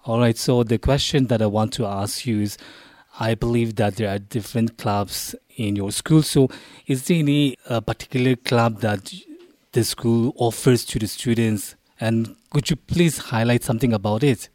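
A man's voice: medium pitch, moderate pace, darkish tone, no room sound. A man, hosting a live radio interview in a formal, polite manner. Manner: formal, polite, addresses listeners directly. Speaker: radio host.

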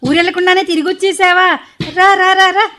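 A woman playing a fierce, sharp-tongued old mother-in-law who scolds and threatens. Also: extremely high pitch, flat delivery, brisk. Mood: scolding. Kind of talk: comic drama acting.

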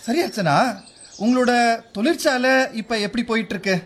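Man acting a lively-toned, placating scientist. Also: extremely high pitch, brisk. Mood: curious, friendly. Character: calm, conciliatory male government scientist friend. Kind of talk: scripted drama dialogue.

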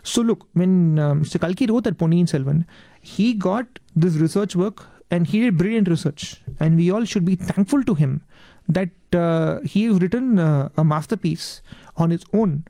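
Gravelly earnest Indian man, dark tone. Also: high-pitched, normal speed, dry recording. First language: Tamil. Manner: earnest, repeats words, addresses host as ma'am. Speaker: guest. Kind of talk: interview answers.

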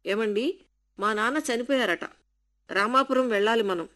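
A woman's voice: a little high, moderate pace, a bit bright, natural voice. Lady reading a sad young wife pleading for a day off. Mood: anxious. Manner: pleading, sorrowful. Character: grieving young wife, office clerk.

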